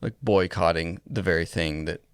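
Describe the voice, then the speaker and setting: A man's voice: sits low, normal speed, clear, resonant tone, dry recording, studio quality. A man speaking casually as a co-host in a conversational podcast discussion.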